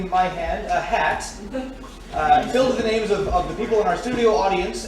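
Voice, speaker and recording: A man hosting a live radio theatre show before an audience, speaking in old-time announcer patter. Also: sits high. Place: stage before a studio audience. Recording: roomy, distant live stage recording, heavy noise.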